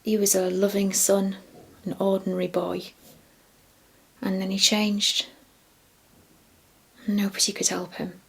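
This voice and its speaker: An actress playing a grieving, devastated middle-aged mother, giving a breathy testimonial monologue in a film drama. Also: mid-range voice, monotone, takes it slowly, pauses often, full character voice. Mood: bereft.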